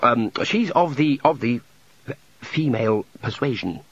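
A man's voice: medium pitch, animated, unhurried, a bit bright, husky, no room sound, exaggerated voice. A man voicing a nervous, hesitant young male wizard who stammers. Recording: slightly dull, dry audiobook recording.

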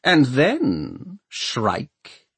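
A man's voice: mid-range voice, huge pitch swings, drawn-out words, gravelly, a bit bright, husky, no echo, voice pushed up, exaggerated voice. A man voicing a commanding, coolly ruthless male Lord Mayor with an imperious, clipped manner in an audiobook.

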